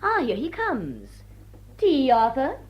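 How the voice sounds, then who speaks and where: A woman's voice: high voice, huge pitch swings, slow pace, a little mellow, exaggerated voice. An actress playing a gushing, commanding society lady with an upper-class English accent and a theatrical manner in a period drama. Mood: effusive. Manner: theatrical, effusive. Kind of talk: period drawing-room radio drama acting.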